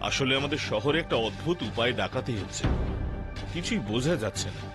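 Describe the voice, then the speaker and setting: A mid-pitched male voice, acted by a man as a worried, courteous gentleman in a children's cartoon. He sounds hesitant and anxious. Music plays clearly behind his speech. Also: lively intonation, a little fast, natural voice. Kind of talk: cartoon dubbing in Bengali.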